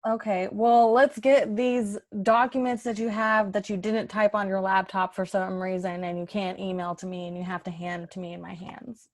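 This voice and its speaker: A woman's voice: mid-range voice, little pitch movement, moderate pace, hardly any pauses, close to an everyday voice. A woman playing an impatient, skeptical boss in an improvised comedy scene, sarcastic and exasperated.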